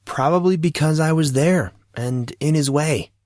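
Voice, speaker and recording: A man's voice: on the high side, unhurried, darkish tone, no echo, studio quality, voice pushed up, close to an everyday voice. A man voicing an earnest, wry teenage schoolboy with a casual, youthful way of talking in an audio drama. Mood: subdued.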